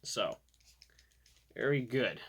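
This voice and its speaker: A man's voice: medium pitch, animated, drawn-out words, a bit bright, husky. A man giving a casual product review to camera, chatting and thinking aloud as he demonstrates.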